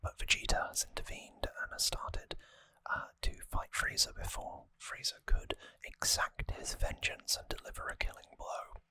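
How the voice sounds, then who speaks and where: An ASMR host whispering an informal story recap in a soft, breathy, unvoiced voice, close to the microphone. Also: normal speed, hardly any pauses, no echo. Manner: soft-spoken, informal, explanatory. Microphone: close microphone, dry.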